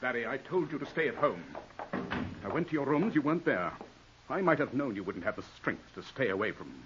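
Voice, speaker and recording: An actor playing a middle-aged, domineering English lawyer scolding and lecturing in a 1940s radio drama. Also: male voice, medium pitch, animated, fairly quick, slight background noise, close to an everyday voice. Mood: curt, scornful. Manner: forceful, scornful, lecturing. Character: domineering English lawyer, ambitious, exasperated older brother. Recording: dull 1940s radio broadcast recording.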